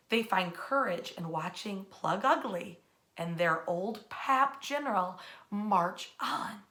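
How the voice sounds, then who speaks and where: A woman with an American accent tells history like a storyteller. Her voice sits a little high and sounds bright and forceful. She phrases theatrically, leaning on key words and pausing for effect. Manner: emphatic, theatrical phrasing with deliberate pauses. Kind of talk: dramatic bedtime-style history storytelling.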